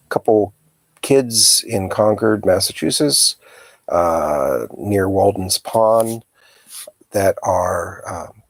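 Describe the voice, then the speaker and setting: A man hosting a live storytime, reading a children's novel aloud in a calm, unhurried, hesitant manner. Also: low voice, dry recording.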